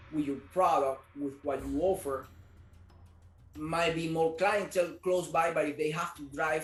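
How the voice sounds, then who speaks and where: Man, slightly high voice. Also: reverberant, rough recording. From Cuba. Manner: earnest, repeats words while searching for them. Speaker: martial arts studio owner. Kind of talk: casual interview answers.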